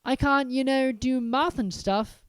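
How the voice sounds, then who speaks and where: A puzzled, polite young boy, as a storybook reader plays him. The voice sits well above the reader's own and stays fairly loud, with hesitant, questioning delivery over a dry, close sound. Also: high-pitched, a little slow, clean tone, no echo, voice pushed up. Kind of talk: audiobook character reading.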